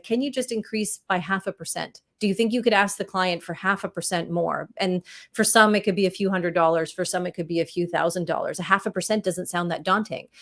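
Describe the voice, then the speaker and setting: A woman guest on a podcast, talking energetically about negotiation and telling stories with rising emphasis. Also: medium pitch. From Canada. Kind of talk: podcast interview answers.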